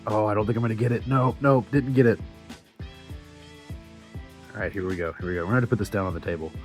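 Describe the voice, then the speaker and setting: Low-voiced enthusiastic man vlogging casually. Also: fast talker, soft background music. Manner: enthusiastic, geeky fan talk. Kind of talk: casual chatty vlog with play-by-play of handheld virtual pet games.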